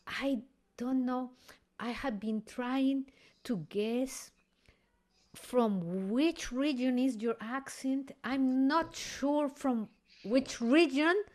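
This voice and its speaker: A woman's voice: high-pitched, drawn-out words, darkish tone. A woman with a slightly raised voice, a classical singer chatting in an easy, effusive way. She restarts her sentences often as she searches for the right words. Her speech is loose and conversational. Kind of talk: casual conversation.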